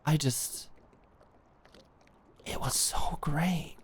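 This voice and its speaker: A young woman playing a smitten, protective girl at camp in an intimate ASMR roleplay, speaking earnestly and haltingly. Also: low voice. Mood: smitten, tender. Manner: halting, earnest, giggly, stammering. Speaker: audio roleplay performer.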